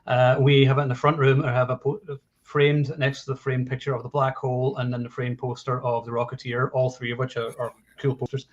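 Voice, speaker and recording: A man's voice: medium pitch, little pitch movement, fairly quick. A man in his forties hosting a casual film discussion, talking in a rambling, enthusiastic way over lo-fi livestream audio. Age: 40s. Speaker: host of a live film discussion show.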